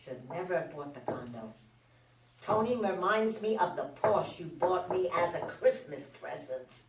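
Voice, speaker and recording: Scolding, animated wife in an echoey room, played by a woman. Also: fairly low pitch, a little slow. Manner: scolding, accusatory. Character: fed-up, nagging wife and mother, sharp-tongued. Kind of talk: amateur staged play reading. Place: indoor room at a live reading. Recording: echoey room, distant mic, faint noise.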